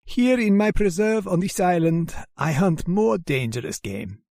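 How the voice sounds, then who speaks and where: Courtly, menacing general with creaky, swooping voice. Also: male voice, high voice, expressive, unhurried, a little mellow, dry recording, studio quality, heavily acted. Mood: sinister relish. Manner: courtly, formal, theatrical. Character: genteel, menacing aristocratic male general, obsessed hunter. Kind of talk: old-time radio drama acting.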